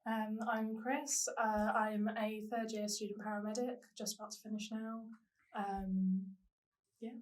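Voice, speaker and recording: A woman's voice: mid-range voice, level tone, unhurried, a little mellow, distant mic, low-quality recording. A young woman from South London, a paramedic student chatting casually, often laughing and hesitating. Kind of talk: casual chat.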